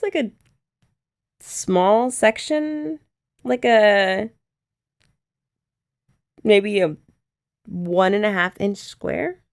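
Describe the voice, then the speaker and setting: A young woman doing a chatty ASMR girlfriend roleplay, explaining each step and teasing her listener. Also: mid-range voice. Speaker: ASMR creator.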